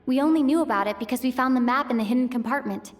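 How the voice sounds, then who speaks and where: A woman's voice: high voice, fast talker, lightly acted. A woman voicing a thoughtful young female traveler who works methodically through clues in an inner monologue for a fan dub. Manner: methodical, reasoning aloud, questioning herself. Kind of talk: fan voice acting of a game cutscene, inner monologue.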